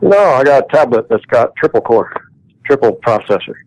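A man's voice: mid-range voice, sing-song, a little fast, a little mellow, poor audio. A man taking a prank call over the phone, easygoing, laughing along and jabbing back. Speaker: flooring installer taking a call. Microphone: phone line.

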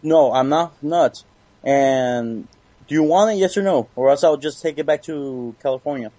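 A man's voice: fairly high pitch, normal speed, dark tone, no room sound. A man doing casual, crude prank-call banter over a muffled lo-fi voice chat. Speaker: prank caller on a call show.

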